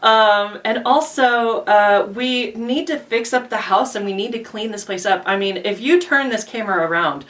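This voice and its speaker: A woman's voice: mid-range voice, moderate pace, hardly any pauses, a bit bright. A woman chatting casually as co-host of an online channel, playfully teasing. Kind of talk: casual vlog chat. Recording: slightly distant from the microphone.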